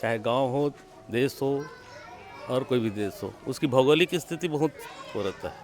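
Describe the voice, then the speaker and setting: Village man, mid-pitched, amid crowd noise. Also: moderate pace, a little mellow. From village in Chhattisgarh, India. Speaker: villager being interviewed. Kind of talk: informal interview answers. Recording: crowd chatter outdoors.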